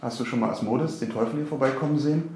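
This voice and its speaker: A man's voice: mid-range voice, racing, darkish tone. A man hosting a podcast on location, chatting casually and playfully with a hesitant, informal delivery. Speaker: podcast host. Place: indoor office corridors and rooms. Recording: roomy echo with faint background noise.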